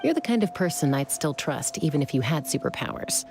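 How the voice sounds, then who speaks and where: A woman's voice: low voice, big pitch swings, fairly quick. A woman playing a cool, composed young warrior in a video game, speaking in a laid-back, assured way. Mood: reassuring. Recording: music behind the voice.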